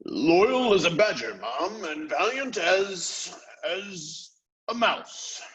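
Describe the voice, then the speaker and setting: A man voicing a loyal ship's captain in a storybook, with a respectful, deliberate manner. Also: sits high, sing-song, fairly bright tone, pitched above the performer's own voice, full character voice, rough recording. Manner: deferential, deliberate.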